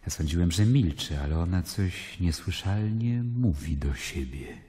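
An actor playing a worldly, skeptical Roman governor, narrating in a measured, musing, literary way in a radio drama. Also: male voice, low-pitched, expressive, warm tone, breathy edge, no room sound, full character voice. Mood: curious, skeptical.

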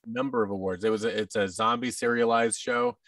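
A man's voice: lowish voice, fairly even intonation, quick pace, a bit bright, dry recording, distant mic. An American man in his thirties chatting casually as an interview guest, in a rambling, self-deprecating way. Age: 30s. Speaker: guest, actor and audio drama producer. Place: large indoor office room. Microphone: boom-arm microphone, headset, video call.

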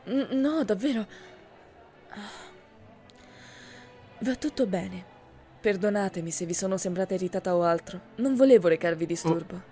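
A woman's voice: mid-range voice, lively intonation, brisk, mellow, close to an everyday voice. Refined, haughty rich schoolgirl, acted by a woman. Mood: flustered, apologetic. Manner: formal, genteel, carefully worded. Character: refined wealthy high-school girl at a gala, polite, haughty. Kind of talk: scripted anime fan-dub roleplay. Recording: music behind the voice.

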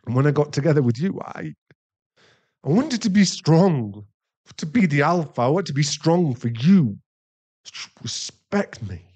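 A man playing a distraught, guilt-ridden werewolf boyfriend in an intimate ASMR roleplay, halting and pleading. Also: on the high side, very animated, a little mellow, husky, heavily acted. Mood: sad, ashamed. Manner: halting, pleading, emotionally fragile.

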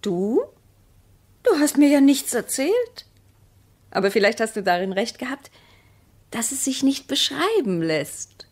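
An actress in a German radio play voicing a distrustful, needling wife, speaking in a measured, pointed way with hushed phrases. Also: female voice, fairly high pitch, very animated.

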